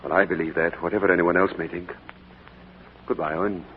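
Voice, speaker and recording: An actor playing a grieving middle-aged gentleman, wistful and brooding, in 1940s radio acting style. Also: deep voice, level tone, fairly quick, close to an everyday voice. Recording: tinny old radio broadcast with faint hiss.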